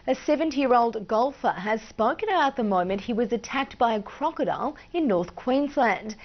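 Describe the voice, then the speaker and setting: Woman, Australian newsreader, fairly high voice, scripted bulletin read, band-limited broadcast sound. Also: highs cut off. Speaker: news anchor. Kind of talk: scripted broadcast news reading.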